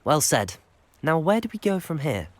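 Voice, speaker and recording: Polite, animated student wizard. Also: male voice, on the high side, moderate pace. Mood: curious. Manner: polite, earnest. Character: polite, curious teenage male wizard student. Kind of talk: video game character acting.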